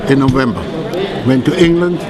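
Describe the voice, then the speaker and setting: A man's voice: medium pitch, a little fast. A man in his nineties from Germany with a German accent, answering questions about his past in an emphatic way. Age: 90s. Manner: emphatic, restarts mid-sentence. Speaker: war veteran interviewee. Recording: loud crowd behind.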